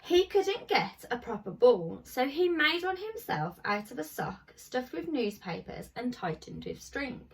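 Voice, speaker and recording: Woman, primary teacher type for children's story time: slightly rough-edged voice, informal delivery, mic a bit distant. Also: high-pitched, big pitch swings, measured pace, few pauses. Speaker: primary school teacher reading to pupils. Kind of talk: reading a children's picture book aloud with informal asides.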